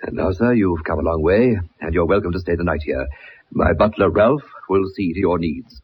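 An actor playing a stern, gruff old colonel in a radio drama, with a curt, pompous, formal manner. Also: male voice, low voice, normal speed. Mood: cold courtesy. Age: older. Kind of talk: 1960s radio drama acting. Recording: muffled old radio broadcast recording.

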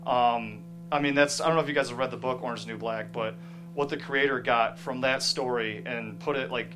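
A man speaks in a mid-range voice with music clearly playing behind him. He is a guest writer in a conversational interview. His delivery is informal and halting, as if working out his thoughts aloud. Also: mid-range voice, steady tone. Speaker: guest screenwriter.

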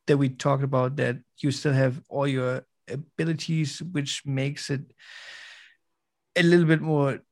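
A man speaking English as a second language as a podcast guest, halting and stuttering, with restarts. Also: medium pitch, little pitch movement, darkish tone. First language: not English. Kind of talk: casual gaming chat.

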